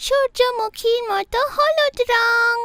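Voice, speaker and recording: A woman's voice: very high-pitched, steady tone, slow, pushed and bright, exaggerated voice. A woman voicing an eager, curious little chick in a children's cartoon, with a childlike, singsong delivery. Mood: eager reciting. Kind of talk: children's cartoon story acting.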